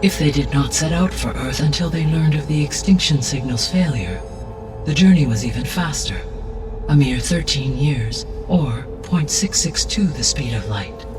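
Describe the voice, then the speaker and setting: A man voicing a calm, benevolent artificial intelligence in a video game, speaking in a formal, precise and measured way. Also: a little high, recorded from a distance, close to an everyday voice. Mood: precise, explanatory. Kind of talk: scripted video game cutscene dialogue. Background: background music.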